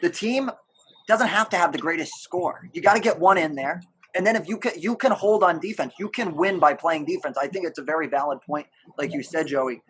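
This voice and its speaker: A man's voice: a little high, fairly quick, fairly bright tone, slight background noise, low-quality recording. A man hosting a casual sports interview podcast, putting questions to guests in an encouraging, affirming way. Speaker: podcast host.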